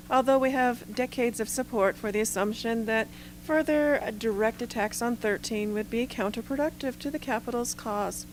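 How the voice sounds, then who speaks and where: A woman's voice: a little high, a little hiss or hum, lightly acted, rough recording. A woman playing a cool, calculating leader who speaks formally and bureaucratically in an amateur radio drama.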